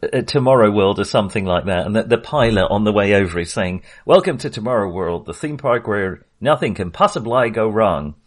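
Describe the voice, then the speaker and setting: A middle-aged man co-hosting a film podcast, talking casually and haltingly, often restarting his sentences. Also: fairly low pitch, animated. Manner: hesitant, halting delivery with false starts; chuckles often while talking.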